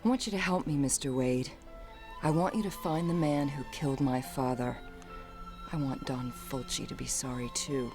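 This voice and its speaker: An actress playing a poised, vengeful young heiress in a video game, cool and dry in delivery. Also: female voice, a bass voice, normal speed, over music. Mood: cold, determined. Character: poised, sardonic, vengeful young heiress, murdered man's daughter. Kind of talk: video game cutscene acting.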